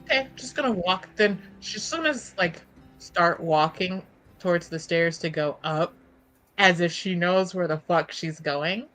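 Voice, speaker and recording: A woman plays in a casual tabletop roleplaying session. Her voice is on the low side yet bright and forward, and she thinks aloud in informal, broken phrases. Soft music runs underneath. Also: measured pace, poor audio. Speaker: tabletop game player. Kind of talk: casual chat during actual play.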